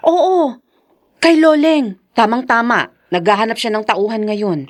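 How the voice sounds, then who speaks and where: A woman's voice: fairly high pitch, unhurried, close to an everyday voice. An actress playing a caring, gossipy neighbour woman in a radio drama, speaking expressively and coaxingly. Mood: pleading. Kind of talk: Tagalog radio drama acting.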